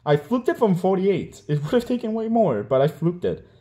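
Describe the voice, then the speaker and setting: A man's voice: high voice, very animated, moderate pace, mellow. A young man whose first language is Hebrew, giving a rambling, defensive apology in casual speech with many fillers. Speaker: online gamer.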